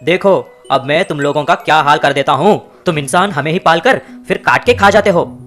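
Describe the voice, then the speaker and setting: Woman doing a giant cartoon goat, male, low, loud, vengeful, music bed. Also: moderate pace. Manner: shouting, defiant.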